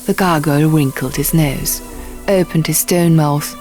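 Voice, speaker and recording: A woman's voice: low-pitched, measured pace, darkish tone. A woman reading scripted first-person fantasy fiction as an audiobook narrator. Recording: music behind. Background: music.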